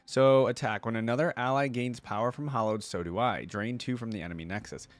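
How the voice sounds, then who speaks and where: A man's voice: mid-range voice, fairly quick, dry recording. A man hosting a reaction video with casual, laid-back commentary, thinking aloud appreciatively as he goes.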